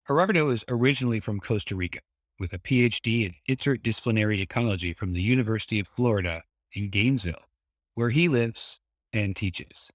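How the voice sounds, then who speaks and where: Male host and educator, slightly low pitch, dry studio sound, upbeat read. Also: no room sound. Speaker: podcast host and design educator. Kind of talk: scripted intro then casual interview.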